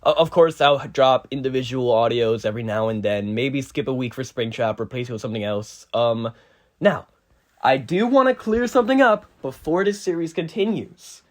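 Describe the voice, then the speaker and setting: A man's voice: medium pitch, moderate pace. A man speaking casually as a channel host, giving an informal outro to his audience.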